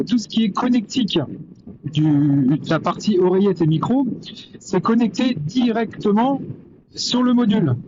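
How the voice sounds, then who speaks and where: A man giving a casual, hesitant commentary while riding a motorcycle, thinking aloud into a helmet intercom mic. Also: high-pitched. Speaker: motovlogger reviewing gear. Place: on a motorcycle on the road. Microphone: intercom boom mic inside helmet. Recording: muffled helmet intercom mic, loud wind noise. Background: wind and vehicle noise.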